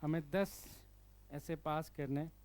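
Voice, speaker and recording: A man's voice: on the high side, fairly even intonation, takes it slowly, darkish tone. A man with an Indian accent teaching physics, working through a problem step by step and often checking in with the listener. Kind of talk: explanatory problem-solving lesson.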